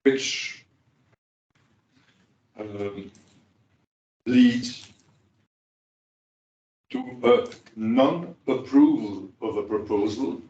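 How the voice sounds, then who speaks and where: A man speaking as a cooperative practitioner on a panel, pausing often with fillers. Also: lowish voice, darkish tone. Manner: halting, many pauses and fillers. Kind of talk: panel discussion remarks. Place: large conference hall. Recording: echoey hall, distant dull mic.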